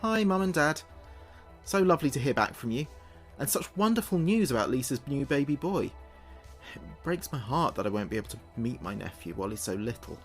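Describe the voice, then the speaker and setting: A man playing an earnest spaceship communications officer, speaking his log in a measured, precise way for a sci-fi audio drama. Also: medium pitch, big pitch swings, slightly rough, faint music behind. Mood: tender, wistful.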